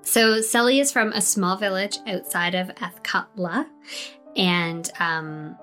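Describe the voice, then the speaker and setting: A woman's voice: mid-range voice, bright, forceful tone, soft background music. A woman telling her character's backstory as a tabletop game player, hesitant with many fillers. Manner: unhurried, hesitant, many ums.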